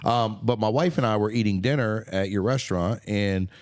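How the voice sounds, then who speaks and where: A man's voice: on the low side, moderate pace, darkish tone, no echo, studio quality. A middle-aged man hosting an interview podcast, chatting enthusiastically and rambling a little, with the odd casual swear. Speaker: podcast host.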